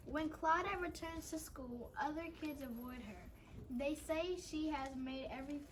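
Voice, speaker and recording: A woman with a high voice reads a readers theater script aloud. She sounds like an amateur reader and is picked up from a distance, with faint noise around her. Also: measured pace. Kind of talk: read-aloud of a school play script.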